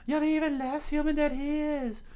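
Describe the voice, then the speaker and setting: A man voicing a sharp-tongued, exasperated young woman pirate in a scolding falsetto for a read-aloud story. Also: fairly even intonation, moderate pace. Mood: sarcastic.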